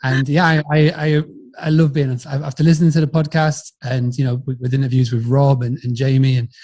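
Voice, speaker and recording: A man's voice: medium pitch, level tone, brisk, darkish tone. A middle-aged man from Yorkshire with a northern English accent, talking in a chatty, rambling way as an interview guest. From Yorkshire, England. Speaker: podcast guest, business founder. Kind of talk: conversational storytelling in an interview.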